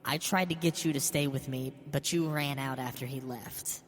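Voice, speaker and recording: A man's voice: mid-range voice, fairly even intonation, moderate pace, pitched above the performer's own voice, lightly acted. Man voicing a grieving, bitter mother in reverb. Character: bitter, grieving old mother, wounded and resentful. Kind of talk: dramatic horror story reading. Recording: long hall reverb. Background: music.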